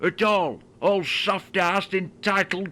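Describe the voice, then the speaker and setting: A man's voice: high-pitched, slow, sharp tone, full character voice. A man with a Northern English accent voicing a crusty, foul-mouthed old uncle in a comedy dub. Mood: grumbling. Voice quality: gruff, scornful, swears a lot. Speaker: parody voice actor.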